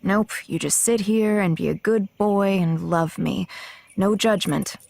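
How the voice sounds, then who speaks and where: A woman acting a headstrong, restless blind high school girl in an audio drama, talking casually and naturally. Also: measured pace, vocal fry, darkish tone, no echo. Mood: tender. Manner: casual, conversational.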